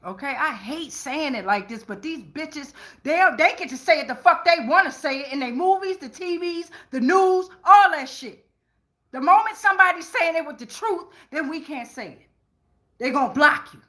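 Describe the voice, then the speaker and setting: A woman with a high, sharply bright voice reads tarot in a casual monologue. She is blunt and slangy, swears freely and rambles, often checking in with the listener. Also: animated, moderate pace. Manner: rambling, with frequent "right?" check-ins. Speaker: tarot reader and channeler.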